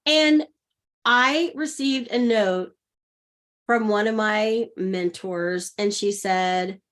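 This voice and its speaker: Middle-aged woman, coach, warm-pitched mid voice, upbeat, story-driven. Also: extremely slow. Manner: earnest and upbeat, laughing often. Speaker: leadership coach, guest. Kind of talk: personal storytelling in conversation.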